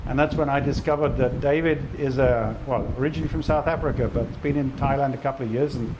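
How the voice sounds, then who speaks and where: A man's voice: medium pitch, steady tone, quick pace, noisy background. A man hosting a casual outdoor interview, rambling and correcting himself as he sets up friendly questions. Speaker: interviewer and channel host. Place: beach outdoors.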